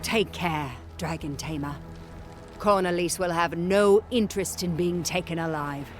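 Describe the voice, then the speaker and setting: A woman's voice: lowish voice, measured pace, faint music behind, lightly acted. A woman playing an earnest, cautious ally who gives solemn guidance in a game cutscene. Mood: grave, helpful. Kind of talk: video game cutscene acting.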